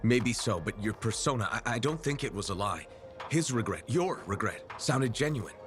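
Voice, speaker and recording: A man's voice: medium pitch, lively intonation, moderate pace, music in the background, lightly acted. A man playing a plain-spoken, wry and empathetic mercenary hero in a video game, talking in a casual, conversational way. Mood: sober, probing. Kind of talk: video game dialogue acting.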